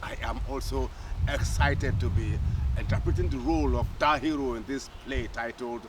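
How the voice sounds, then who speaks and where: A man introduces himself formally as a stage actor. His mid-pitched voice is caught from a distance amid loud background noise. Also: moderate pace.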